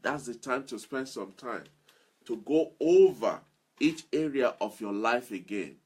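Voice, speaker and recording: Slow, husky-voiced male motivational speaker. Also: mid-range voice, expressive, a little mellow. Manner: earnest, repeats key phrases for emphasis. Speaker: self-improvement speaker. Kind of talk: motivational talk to listeners.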